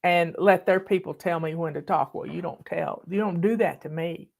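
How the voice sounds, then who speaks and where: A woman's voice: on the low side, normal speed, gravelly, a little mellow. An older woman with a Southern US accent, chatting casually with her viewers and telling a story in a folksy, bossy manner. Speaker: online host. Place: home in the mountains.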